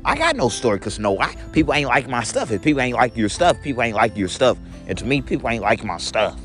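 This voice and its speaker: A young man with a deep voice talking in a rambling daily vlog, repeating each point in an if-you, to-me pattern and calling his viewers guys. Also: very animated, fast talker, fairly bright tone, faint music behind. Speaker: vlogger.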